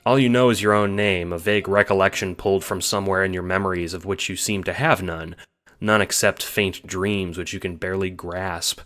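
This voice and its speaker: A man's voice: sits low, fairly even intonation, brisk, a bit bright, faint music behind. A man reading scripted second-person story narration, as the narrator of an audio drama.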